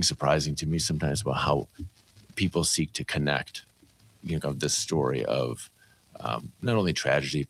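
A man speaking as a guest in a discussion, reflective and thoughtful, halting as he gathers his words. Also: rumbling low, vocal fry, husky, poor audio. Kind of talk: reflective interview talk.

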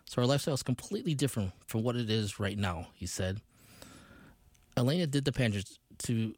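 A man hosting a radio show, reading a news feature aloud in a steady voice and introducing songs. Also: medium pitch, no room sound, studio quality. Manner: steady read-aloud delivery, quotes read plainly. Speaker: radio host.

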